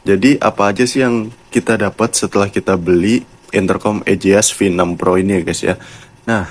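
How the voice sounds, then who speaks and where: A man's voice: lowish voice, fairly even intonation, brisk, breathy edge. A man with a colloquial Jakarta Indonesian accent, giving a casual, chatty product review and addressing viewers like mates. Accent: Jakarta-style colloquial Indonesian. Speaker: YouTube motovlogger and product reviewer.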